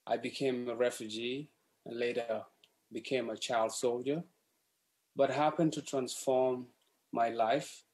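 A young man from South Sudan speaks at an unhurried, measured pace as he puts a prepared question. His delivery is earnest and a little formal, picked up by a webcam on a video call from his home. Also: medium pitch, fairly even intonation, darkish tone. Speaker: activist asking a question. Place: home room.

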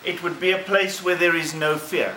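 A middle-aged man speaks to a conference in measured, emphatic phrases. His voice is bright and a little high. It comes through a handheld microphone in a roomy space. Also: steady tone. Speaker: clergyman presenting as committee member. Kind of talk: conference presentation, oratorical. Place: conference room, indoors. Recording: roomy, slightly distant, faint noise.

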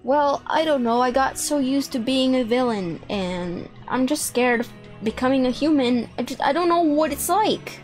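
A woman's voice: sits high, moderate pace, a little mellow, lightly acted. A young woman playing a sweet, anxious villain girlfriend in an improvised video game roleplay. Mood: tender, anxious. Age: young adult. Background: music.

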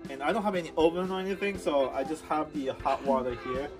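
A man's voice: fairly high pitch, fast talker, music in the background. A man in his thirties, a father in a family vlog, explaining each step plainly and practically. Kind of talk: casual explaining while doing tasks.

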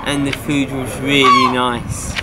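A man in his thirties talking haltingly, searching for words, outdoors on a quay. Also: mid-range voice, completely flat delivery. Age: 30s. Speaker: trip participant interviewed. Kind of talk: casual chat to camera. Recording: loud outdoor noise.

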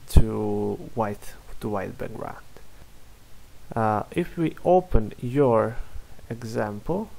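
Slow halting Serbian-accented man, gravelly. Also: mid-range voice, a little mellow, poor audio. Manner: halting, many fillers and restarts. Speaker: software developer. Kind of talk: screen-recorded software demo.

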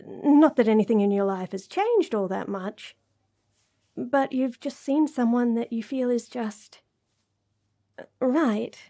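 Female actor as smitten, flustered young bunny girl, romantic roleplay. Warm, relaxed, slightly high voice; stammering, bashful rambling. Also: slow, pauses often. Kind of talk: romantic audio roleplay acting.

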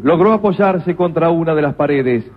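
Husky male radio play narrator. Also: on the high side, animated, moderate pace. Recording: tinny lo-fi radio recording.

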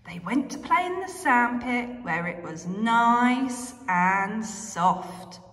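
A young woman who reads and talks to small children as an early years educator, with expressive, drawn-out emphasis. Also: fairly high pitch. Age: young adult. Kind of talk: children's story reading and quiz. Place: carpeted nursery classroom, indoors. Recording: echoey room with long reverb.

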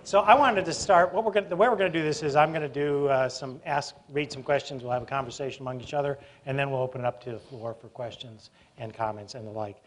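A man's voice: mid-range voice, fairly even intonation, fast, hardly any pauses. A middle-aged man moderating a stage conversation in a university auditorium, chatty and wry, often restarting his sentences, on a lapel mic. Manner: chatty, self-interrupting, wry. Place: university auditorium with live audience.

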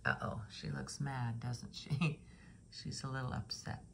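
A woman's voice: a bass voice, fairly even intonation, unhurried, vocal fry, a little hiss or hum. A woman storyteller reading a picture book to young children in rhythmic rhyme, with chatty questioning asides. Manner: rhythmic rhyming delivery, engaging listeners with questions.

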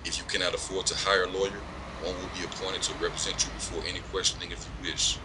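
An actor playing a stern, bullying sheriff's deputy in an audio drama, commanding and procedural. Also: male voice, low-pitched, level tone, fast, natural voice. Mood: official, businesslike. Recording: noisy vehicle ambience.

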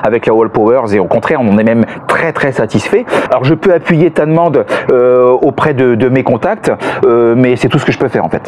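A man's voice: medium pitch, fairly quick, darkish tone. A middle-aged man, chatting casually to camera as a family vlogger, hesitating and repeating words for emphasis. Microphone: clip-on lapel mic. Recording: noticeable background noise.

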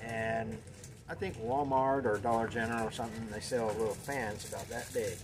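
A man in his sixties gives a casual, practical explanation at an average pitch. He is plain-spoken and often halts to find his words. He is recorded indoors on a camera mic, with noticeable ambient noise around him. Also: fairly even intonation, normal speed. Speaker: guest explaining a home method. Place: indoors in a cluttered shop. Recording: noisy room with background ambience.

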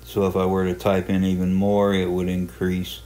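A man giving a casual, unhurried tutorial narration, explaining a hobby software program step by step in plain terms. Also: low-pitched, monotone. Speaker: amateur radio hobbyist presenting a software walkthrough.

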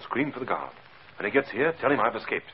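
An actor playing a shrewd, self-assured crime-fighting hero in 1940s radio style. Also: male voice, medium pitch, big pitch swings, fast talker, close to an everyday voice. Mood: low, urgent. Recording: dull, hissy 1940s radio recording.

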